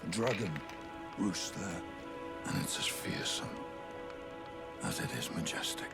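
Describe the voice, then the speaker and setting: An actor playing a wary, world-weary man in a fantasy game, giving measured warnings in slightly archaic speech. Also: deep voice. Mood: cautioning. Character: wary, world-weary male stranger warning a young adventurer. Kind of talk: video game character acting. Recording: loud music over the voice.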